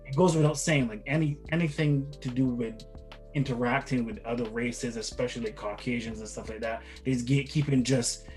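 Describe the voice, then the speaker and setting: A man's voice: medium pitch, moderate pace, a little mellow, faint music behind. A middle-aged man from Barbados giving casual, rambling interview answers, repeating words and often checking with "right?". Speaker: interview guest, father of the other guest.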